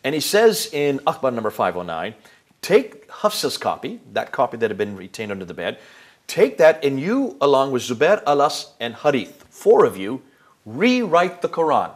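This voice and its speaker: A man explaining his case at length to a listener. His voice sits at a middle pitch, and he turns emphatic when he poses rhetorical questions, pausing on fillers and restarting his phrases as he goes. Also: huge pitch swings. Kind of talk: explanatory lecture to an interviewer.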